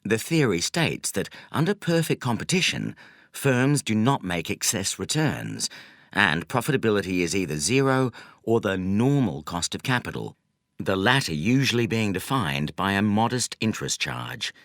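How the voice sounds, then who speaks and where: Low-pitched man narrating with lively intonation. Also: moderate pace, no room sound, clean studio sound. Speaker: audiobook narrator. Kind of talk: scripted nonfiction audiobook narration.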